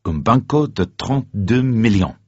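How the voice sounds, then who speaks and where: A man's voice: fairly low pitch, expressive, normal speed, voice pushed up. A man playing a formal French casino croupier in a story reading, announcing the play impressively. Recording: dull, muffled, roomy radio recording.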